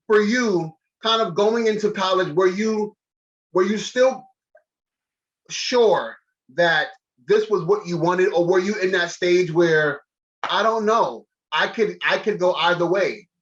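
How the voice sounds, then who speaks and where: A man's voice: high voice, measured pace, a bit bright. An American man in his thirties interviewing a guest casually and informally over a video call, with an enthusiastic manner. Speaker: talk show host, interviewer. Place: small room indoors, on a video call. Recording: slightly dull video-call audio.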